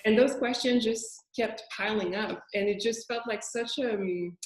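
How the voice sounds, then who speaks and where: A woman's voice: a little high, moderate pace. A woman curator speaking reflectively in a casual panel conversation, often searching for words, on a video call. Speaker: curator and guest critic. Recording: echoey room.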